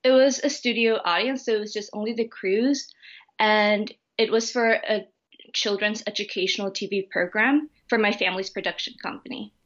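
A young woman from Thailand, a university student, answering interview questions in a polite, relaxed and friendly way. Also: medium pitch, normal speed, lo-fi sound. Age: young adult. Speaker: guest student.

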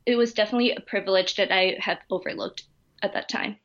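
A woman's voice: mid-range voice, fast talker. Young woman from thailand being interviewed, polite and easygoing, bit muffled. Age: young adult. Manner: polite, relaxed and friendly. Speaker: guest student. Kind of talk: interview answers.

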